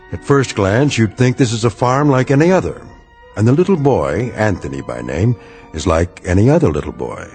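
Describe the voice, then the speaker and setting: An ominous man introduces an eerie radio drama in a low voice. His narration is scripted, dramatic and deliberate, heavy with portent. Music runs faintly underneath. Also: a little mellow.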